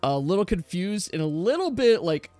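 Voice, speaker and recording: A man's voice: high voice, sing-song, normal speed, darkish tone, faint music behind. A man speaking casually as game master, narrating and managing an online tabletop game. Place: online voice call.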